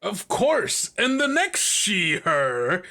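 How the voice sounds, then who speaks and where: A man's voice: sits high, wildly swooping pitch, drawn-out words, bright, forceful tone. A man hosting an actual-play podcast as game master, with playful, theatrical and flowery showmanship. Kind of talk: playful theatrical show introduction.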